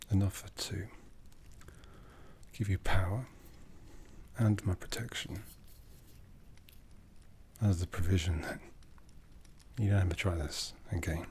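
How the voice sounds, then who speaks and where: A man playing an ancient, brooding vampire lord in an ASMR roleplay, speaking in a breathy, hushed, solemn voice that slips into whispers. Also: deep voice, a little hiss or hum. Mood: commanding, persuasive. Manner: deliberate, solemn, intimate. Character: ancient vampire lord, brooding, commanding, softly menacing, courtly. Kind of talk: ASMR roleplay, soft speech slipping into whispers.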